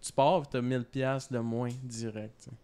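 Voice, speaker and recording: A man's voice: mid-range voice, fairly even intonation, normal speed, mellow, clear, resonant tone. A young man with a Québécois accent, a band member chatting casually in an interview and slipping in wry jokes. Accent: Québécois French. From Quebec.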